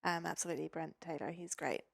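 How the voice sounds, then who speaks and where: A woman's voice: deep voice, fast talker, vocal fry, no echo, studio quality. A young woman from Norway, a physiotherapist guest on a podcast, chatting casually with lots of ums and easy laughs. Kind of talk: casual interview chat.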